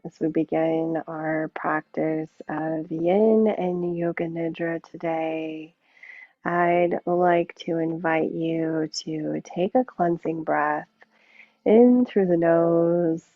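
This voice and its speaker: A woman yoga teacher gently guiding a relaxation practice, unhurried and leaving long pauses between phrases. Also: low-pitched, fairly even intonation, no room sound. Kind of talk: guided yoga and relaxation instruction. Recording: muffled narrow phone-like sound.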